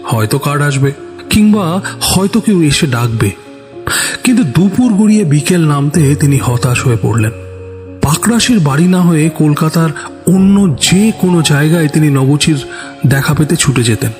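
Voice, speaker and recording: A man's voice: fairly high pitch, animated, moderate pace, darkish tone. A man narrating a scripted suspense story in a dramatic storytelling style. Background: background music.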